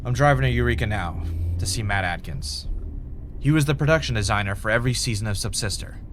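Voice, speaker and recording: A man's voice: fairly low pitch, animated, quick pace, soft background music, natural voice. A man playing a guarded, wry podcaster and former reality contestant in a naturalistic audio drama. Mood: reflective. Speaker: podcast host and narrator.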